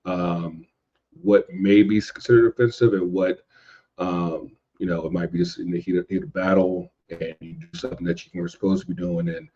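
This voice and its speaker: A man chatting casually as a podcast guest, halting and circling back over his phrases. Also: deep voice, fairly even intonation, dark tone, muffled recording. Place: indoors at home in a city.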